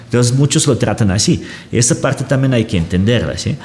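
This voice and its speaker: A man's voice: mid-range voice, animated, brisk, a little mellow, big-room sound, a little hiss or hum. A man, talking as a guest analyst in an explanatory conversation. He searches for words and often checks in with a questioning aside.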